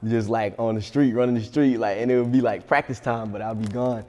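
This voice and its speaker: A man's voice: medium pitch, fairly even intonation, brisk, darkish tone, big-room sound. Laid-back young man, New York accent. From Long Island, New York. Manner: laid-back, slangy, laughs often. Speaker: rapper guest. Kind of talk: casual interview.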